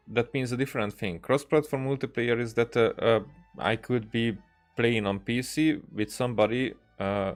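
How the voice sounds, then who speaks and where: A man streaming games, chatting casually in a hesitant, halting way as he thinks aloud. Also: mid-range voice. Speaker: game streamer. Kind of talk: casual livestream chat. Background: music.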